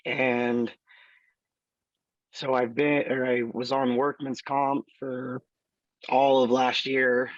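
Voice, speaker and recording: Man, 30s, raspy voice, very slow halting talk, dry muffled recording. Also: mid-range voice, little pitch movement, no room sound. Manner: slow, halting storytelling with many fillers. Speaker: student. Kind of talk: interview, telling his life story.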